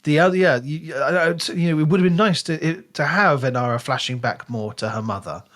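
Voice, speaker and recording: A man's voice: a little high, brisk, fairly bright tone. Man chatting as a podcast co-host. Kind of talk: casual book discussion.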